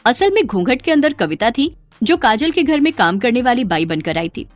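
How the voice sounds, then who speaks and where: A woman narrating a cartoon story in a dramatic, expressive scripted way, with faint music behind. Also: a little high, moderate pace.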